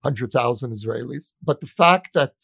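An American man in an earnest political discussion, speaking as a guest with a deliberate, emphatic manner that repeats phrases for weight. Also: medium pitch, lively intonation, creaky, a bit bright, husky, dry recording, clean studio sound. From United States. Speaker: journalist and author interviewed as guest.

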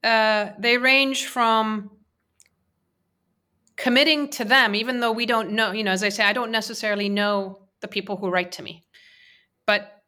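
A woman's voice: fairly high pitch, steady tone, normal speed, long pauses, bright, forceful tone, clear, resonant tone. A woman whose first language is Persian, answering interview questions earnestly, with many fillers and restarts. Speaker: interviewee, women's peace advocate.

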